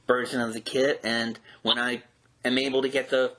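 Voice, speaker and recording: A man works as a podcast host, interviewing in a casual style. His voice is bright and middle-pitched, with a folksy way of addressing listeners. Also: level tone, moderate pace, low-quality recording.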